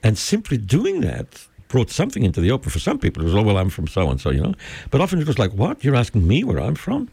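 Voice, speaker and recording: An older man from Mexico with a Mexican accent, speaking English as a second language, talking thoughtfully in an interview and often pausing to search for words. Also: medium pitch, wildly swooping pitch, creaky, mellow, husky, no echo. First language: Spanish. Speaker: guest. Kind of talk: conversational interview.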